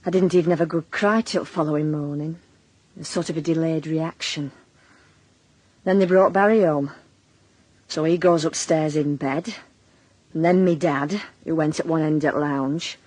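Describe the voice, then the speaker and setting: A woman's voice: low-pitched, steady tone, moderate pace, a little mellow. A woman playing a defensive, blunt working-class Northern English wife and mother, middle-aged, in a naturalistic radio drama. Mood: weary, wry. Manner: colloquial, blunt, wry. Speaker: lead actress and narrator in a radio drama.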